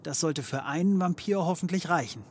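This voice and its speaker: An actor playing a cocky, scheming occult detective in a comedy audio drama, wry and theatrical. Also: male voice, on the high side, a little fast, a little hiss or hum, natural voice. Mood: cheeky, amused. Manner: wry, theatrical, mock-patronising.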